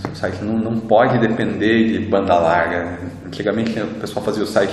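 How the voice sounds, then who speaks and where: A man with a Brazilian accent gives an informal explanatory lecture as an instructor, in a conversational way with frequent tag questions. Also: mid-range voice. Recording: very echoey reverberant room, background noise.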